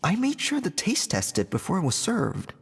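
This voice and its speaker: A thoughtful kitchen staffer with a highish, expressive voice and a gentle explanatory manner. Game reverb and faint music surround him. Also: male voice, moderate pace, creaky, breathy edge, heavily acted.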